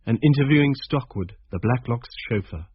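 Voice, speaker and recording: A man's voice: medium pitch, animated, a little fast, a little hiss or hum, natural voice. A man playing a young schoolteacher who narrates a detective story in a scripted radio drama. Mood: reflective, measured. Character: presentable young male schoolteacher recounting a murder case. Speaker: narrator and character in a radio play. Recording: dull radio recording, music behind.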